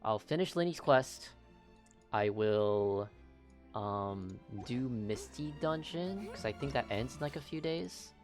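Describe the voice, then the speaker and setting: A man's voice: medium pitch, animated, slow, soft background music. A young man livestreaming a game, chatting casually in a laid-back way and often muttering to himself. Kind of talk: casual stream chat while gaming.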